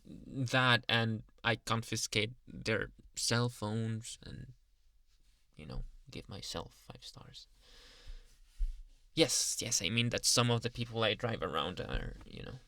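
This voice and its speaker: A man playing an obsessive, doting young yandere Uber driver in an intimate ASMR roleplay, coaxing and smugly pleased with himself, with halting speech and soft whispered asides. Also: mid-range voice, animated, gravelly, no echo, full character voice. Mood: amused, smug.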